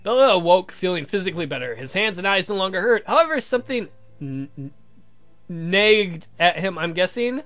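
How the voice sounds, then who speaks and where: Animated, flippant male let's player. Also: high-pitched, moderate pace. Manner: stumbling over words, flippant. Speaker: let's play host. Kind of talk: reading a visual novel aloud with joking asides. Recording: music behind the voice.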